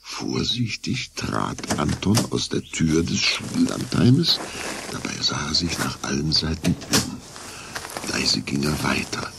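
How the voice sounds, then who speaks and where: Deep, gravelly male storyteller. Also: lively intonation, slow pace, noisy background. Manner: dramatic, suspenseful delivery. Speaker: narrator. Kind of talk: scripted storytelling for a children's radio play.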